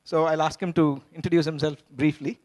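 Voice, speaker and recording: A man moderating a conference panel on stage, speaking in a measured way with pauses. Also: on the high side, flat delivery, darkish tone.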